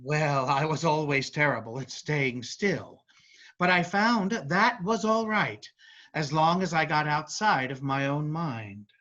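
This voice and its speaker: A woman's voice: sits low, takes it slowly, fairly bright tone. A woman in a staged play reading, playing a free-spirited, easygoing spirit who encourages a young girl. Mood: light, encouraging. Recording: dull lo-fi recording.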